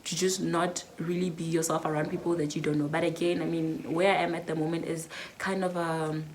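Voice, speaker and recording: A woman's voice: sits low, little pitch movement, brisk, a little mellow, a little hiss or hum. A nineteen-year-old woman with a South African accent, chatting reflectively through a Q&A vlog with lots of fillers. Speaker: YouTuber. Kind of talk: casual Q&A vlog.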